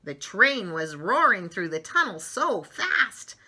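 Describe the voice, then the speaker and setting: A middle-aged woman reading a story aloud for children, expressively and with drawn-out emphasis on words. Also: medium pitch, very animated, sharp tone, slightly rough. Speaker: children's story channel host reading picture books aloud. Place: small furnished room at home, indoors.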